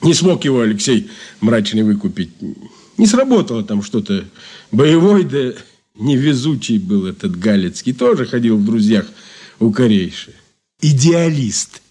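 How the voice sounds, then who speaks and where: Casting: folksy ex-anarchist informant, wry, colloquial, animated mid-range male voice, radio play. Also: mid-range voice, breathy edge. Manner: colloquial, halting with filler sounds. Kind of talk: Soviet radio drama acting.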